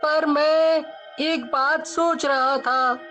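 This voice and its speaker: A woman voicing an earnest young boy, a protective older brother in a cartoon story, with drawn-out pleading delivery. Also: sits high, steady tone, fairly bright tone, full character voice. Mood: curious, earnest. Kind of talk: Hindi cartoon story dubbing. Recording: music behind the voice.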